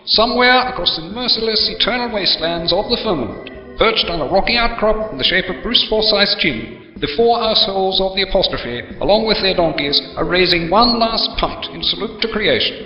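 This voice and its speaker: A man's voice: high-pitched, animated, moderate pace, distant mic. A man reading scripted comic narration in a wry, mock-formal manner. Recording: dull, echoey, reverberant audio with faint music.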